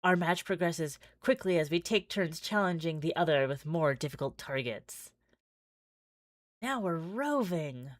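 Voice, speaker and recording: A woman livestreamer reading a visual novel aloud with casual asides, expressive and giggly, often stumbling and restarting. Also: deep voice, measured pace, no room sound, clean studio sound.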